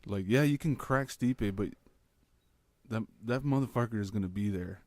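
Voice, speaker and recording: A young man co-hosting a casual podcast, talking bluntly with lots of swearing and animated opinions. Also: lowish voice, normal speed, dry recording. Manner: blunt, profane, animated fight talk. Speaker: podcast co-host. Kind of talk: casual chat.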